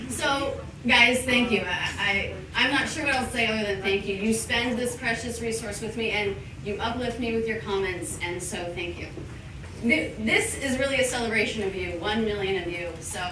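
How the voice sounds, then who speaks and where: A woman's voice: a little high, normal speed. Grateful woman speaking to a crowd. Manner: warm, grateful, laughing often. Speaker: English teacher and YouTube host giving a thank-you speech. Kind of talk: live speech to a party crowd. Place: large event space. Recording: roomy, far from the mic, noisy.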